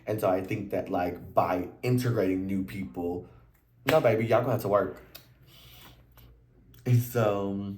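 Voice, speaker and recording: A deep-voiced man chats through a reality show recap with lots of slang, his voice a little worn and hoarse. He now and then leaps into high, loud exclamations. The sound is roomy and echoey, with a faint hum behind him. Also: measured pace, pauses often. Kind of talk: casual chat to camera.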